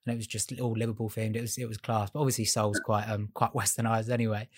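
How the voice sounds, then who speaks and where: A man hosting a podcast, asking questions in a relaxed, easygoing way. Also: on the low side, quick pace. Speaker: podcast host. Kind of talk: casual podcast interview.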